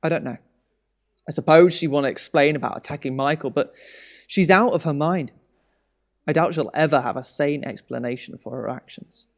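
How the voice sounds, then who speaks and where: An actor playing a weary, exasperated British husband in a naturalistic audio drama. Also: male voice, on the high side, moderate pace, natural voice. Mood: weary, baffled.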